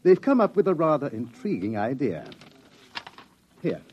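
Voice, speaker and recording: An actor playing a smooth, menacing man who taunts with drawling, insinuating mock courtesy in an old radio drama. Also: mid-range voice, sing-song, moderate pace, muffled recording, slight background noise, natural voice. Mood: persuasive, insinuating. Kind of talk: 1950s radio drama acting.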